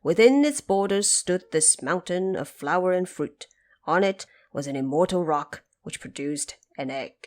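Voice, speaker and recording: A woman's voice: fairly low pitch, expressive, unhurried, darkish tone. A woman voicing a dutiful male general of heaven who reports formally and deferentially to his emperor in an audio drama.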